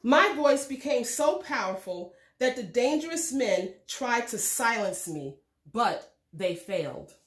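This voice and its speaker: A woman reading a children's storybook aloud in a measured, expressive way. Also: on the high side, echoey room. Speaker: read-aloud host reading a children's book. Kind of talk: scripted storybook narration for children.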